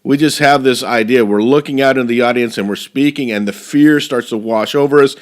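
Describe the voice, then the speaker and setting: A man's voice: medium pitch, brisk. A man giving an earnest speech to a speaking club, often restarting his phrases. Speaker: professional speaker.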